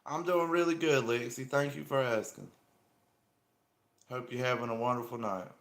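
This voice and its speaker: A man's voice: medium pitch, steady tone, normal speed, creaky, a bit bright. Laid-back Southern man in echoey room. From South Carolina, US. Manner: laid-back, friendly, drawling slang. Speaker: livestream host. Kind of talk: casual live-stream chat with viewers. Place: indoor room. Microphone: small earpiece microphone. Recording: echoey room with faint ambient noise.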